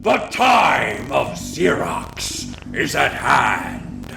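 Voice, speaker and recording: An actor playing a pompous, grandiose villainous admiral with theatrical, bombastic delivery in an audio comedy. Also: male voice, mid-range voice, huge pitch swings, drawn-out words, creaky, shouting, music in the background, full character voice. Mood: triumphant, bombastic. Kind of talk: audio comedy drama acting.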